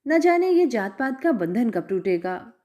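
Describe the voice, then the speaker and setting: A woman narrator voicing a worried, resigned middle-aged mother giving soft, rueful advice to her daughter in a story. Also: on the high side, very animated, measured pace, muffled recording.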